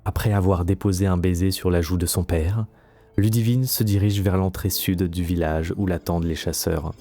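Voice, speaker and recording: A man's voice: low-pitched, fairly even intonation, moderate pace, dark tone. A man narrating a scripted fantasy audio story as a storyteller. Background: faint music.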